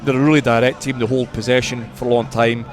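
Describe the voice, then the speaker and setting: A man giving conversational sports punditry as a radio guest, measured and repeating words for emphasis. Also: medium pitch, little pitch movement, music in the background.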